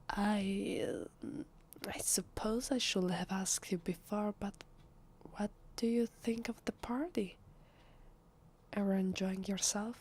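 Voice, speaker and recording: A woman with an Italian accent playing a shy, awkward female vampire who poses as human, in a soft, half-whispered, hesitant ASMR roleplay voice. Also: medium pitch, breathy edge. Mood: shy, friendly. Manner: hesitant, flustered, stammering. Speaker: voice actress.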